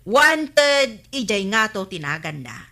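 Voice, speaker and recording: Bright-voiced, feisty Ilocano village woman. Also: medium pitch, very animated, slow, heavily acted. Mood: indignant, scheming. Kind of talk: Ilocano radio comedy-drama acting.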